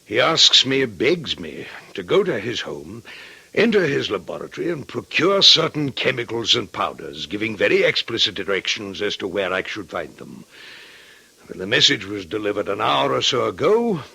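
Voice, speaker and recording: An actor playing a grave, authoritative older father with a husky voice, speaking formally and firmly in old-time radio drama. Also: fairly low pitch, sing-song, normal speed, sharp tone, heavily acted. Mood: grave, troubled. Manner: formal, firm.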